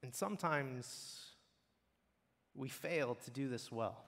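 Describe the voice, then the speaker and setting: A man's voice: medium pitch, takes it slowly, a bit bright. A man preaching a sermon in an earnest, blunt way that builds in intensity. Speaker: pastor. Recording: long hall reverb.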